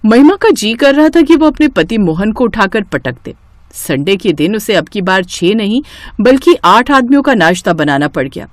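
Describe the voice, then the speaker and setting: A woman narrating a scripted story in an expressive storytelling manner. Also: mid-range voice, normal speed, dry recording.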